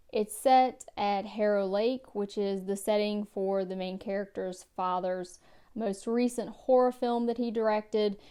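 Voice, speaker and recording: A woman vlogger going through a book tag at home by her bookshelves, reading each question and answering in a careful, measured way. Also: a little high, dark tone, clean tone. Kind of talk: casual book tag vlog.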